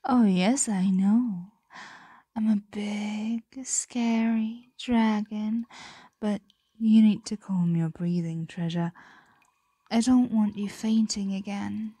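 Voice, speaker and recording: A woman playing a possessive, teasing ancient dragon in a whispered ASMR roleplay, breathy, lingering, doting and predatory. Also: medium pitch, takes it slowly, warm tone, no room sound. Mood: soothing, condescending.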